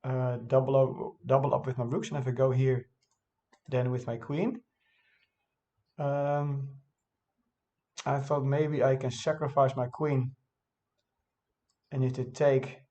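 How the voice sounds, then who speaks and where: A man of middle pitch talks through his own game in English, which is not his first language. He thinks aloud casually, stopping often to correct himself and filling pauses with fillers. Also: little pitch movement, dark tone, dull audio. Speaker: chess vlogger reviewing his own game.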